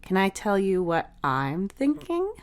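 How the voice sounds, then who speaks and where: A woman voicing a supportive young sister in a storybook, soft-spoken, reassuring and a little teasing. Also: mid-range voice, big pitch swings, unhurried. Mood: gentle, sincere. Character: supportive older sister, young, playful and tender. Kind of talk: dramatic audiobook character dialogue. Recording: music behind the voice.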